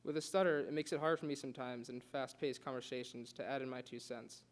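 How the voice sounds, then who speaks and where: Fast-talking young man with a stutter. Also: medium pitch, fairly even intonation. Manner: earnest, with occasional stutters and restarts. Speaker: student giving a class speech. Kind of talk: prepared speech read aloud.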